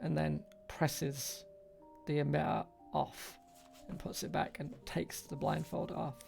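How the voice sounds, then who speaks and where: Very creaky, slow-talking male game master. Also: on the high side, little pitch movement, darkish tone, faint music behind. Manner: halting, pausing between short phrases. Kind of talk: improvised tabletop game narration.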